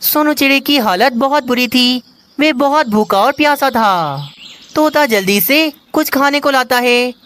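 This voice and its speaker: High-pitched woman narrating a children's story. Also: lively intonation, measured pace, fairly bright tone.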